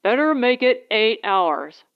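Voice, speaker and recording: A woman's voice: on the high side, big pitch swings, takes it slowly, smooth, phone-quality sound, exaggerated voice. A woman voicing a protective husband who captains a small spaceship, giving blunt instructions in an audiobook story. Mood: firm warning.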